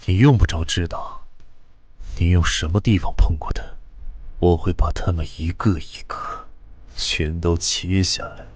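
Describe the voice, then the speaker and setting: A man playing a cold, possessive young man with a controlled, threatening manner in an animated drama. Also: low voice, a little slow, slightly rough, no room sound. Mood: cold menace. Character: cold, menacing, possessive young man devoted to older friend.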